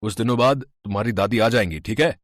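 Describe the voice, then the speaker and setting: A man's voice: on the low side, quick pace, no room sound, studio quality, lightly acted. A man voicing a middle-aged father in a storybook tale, placating, apologetic and hesitant. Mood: soothing.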